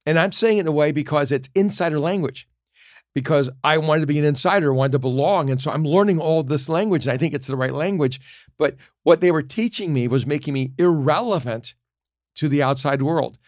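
A man's voice: fairly high pitch, a little fast, a little mellow, no echo, clean studio sound. A middle-aged man, a pastor who teaches communication, telling earnest, reflective stories in a casual podcast conversation.

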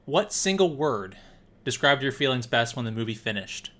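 A man's voice: mid-range voice, sing-song, moderate pace, bright, forceful tone. A man hosting a casual podcast, asking questions with hesitant fillers. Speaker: podcast host.

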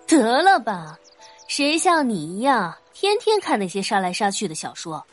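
An actress playing a detective's middle-aged wife in an audio drama, nagging and teasing with exasperated, drawn-out emphasis. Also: female voice, on the high side, huge pitch swings, faint music behind, full character voice. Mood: dismissive. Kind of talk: multi-cast audio drama acting.

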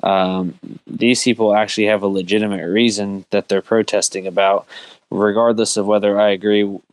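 A man's voice: on the low side, little pitch movement, moderate pace, no echo. A man on a sports talk panel giving earnest, opinionated takes in casual discussion.

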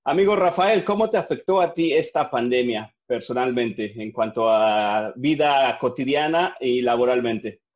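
A man's voice: medium pitch, animated, unhurried, few pauses. A man with a Mexican accent hosting a casual podcast over a video call, friendly and asking questions. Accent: Mexican Spanish. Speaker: podcast host. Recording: slightly dull lo-fi sound.

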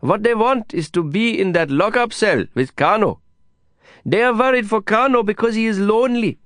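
A man doing a tender young guide, high and earnest. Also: normal speed, fairly bright tone, no echo, clean studio sound. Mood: earnest pleading. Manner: earnest, plaintive, broken English phrasing.

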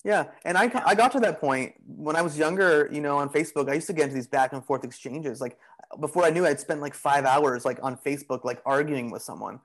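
A man talking as a podcast guest in a casual, relaxed, rambling way, full of "you know" and "like". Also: fairly high pitch, fast. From Greek heritage. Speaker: podcast guest, bodywork practitioner. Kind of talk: casual conversational interview.

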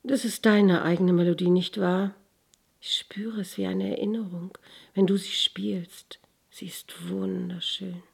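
A woman voicing a sad, tender little girl in a fairy tale, plaintive, yearning and breathy. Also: medium pitch, fairly even intonation, measured pace, darkish tone, full character voice. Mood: wistful.

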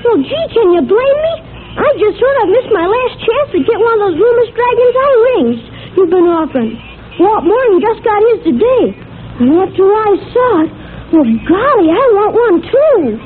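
Earnest gee-whiz young boy, piping high, vintage radio acting, tinny recording. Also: sing-song, moderate pace, slight background noise. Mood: upset, pleading. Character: eager, aggrieved young boy radio listener.

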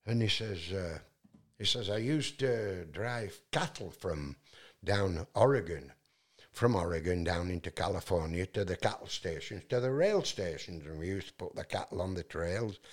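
Bloke, loud, lowish voice, telling a long rambly story with lots of uh and and. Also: male voice. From England. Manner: loud voice, many fillers and restarts. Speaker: guest.

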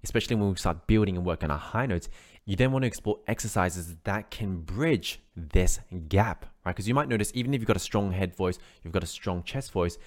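A man's voice: sits low, fairly quick, a little mellow. A man teaching an online singing lesson, explaining steps in an encouraging way and often checking in with "right?". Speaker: vocal coach.

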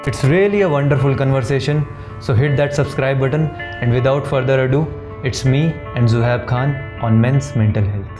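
A man's voice: medium pitch, moderate pace, hardly any pauses, music in the background. A man hosting a podcast, introducing his guest and asking questions in an admiring way with some fillers. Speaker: podcast host. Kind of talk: interview host introduction and questions.

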